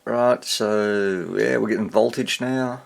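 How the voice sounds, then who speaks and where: A man with a husky voice giving a casual hands-on repair demonstration, half muttering to himself as he works. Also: lowish voice, takes it slowly. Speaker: hobbyist radio repairer.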